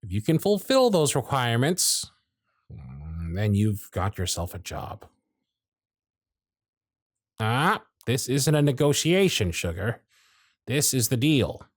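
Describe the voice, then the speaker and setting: Guy voicing a tired sarcastic workshop supervisor, nearly a century on the job, blunt, lively up-and-down delivery, audio drama. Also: medium pitch, no room sound, clean studio sound, heavily acted. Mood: gruff, softening. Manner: sardonic, blunt. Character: jaded male workshop supervisor, century-old, gruff and weary. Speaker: voice actor in audio roleplay. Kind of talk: ASMR roleplay acting.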